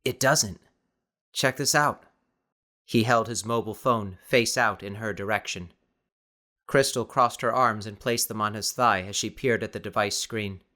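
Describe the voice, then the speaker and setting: A man narrating scripted dramatic fiction as an audiobook reader, in a measured, descriptive manner. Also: on the low side, steady tone, sharp tone.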